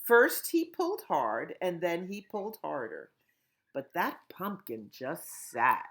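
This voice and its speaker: A woman children's librarian reading a picture book aloud at storytime, slow and expressive. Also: lowish voice.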